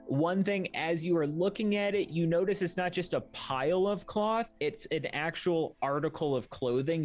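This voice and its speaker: A game master narrating a tabletop fantasy adventure, describing scenes to the players. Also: mid-range voice. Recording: music behind the voice. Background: music.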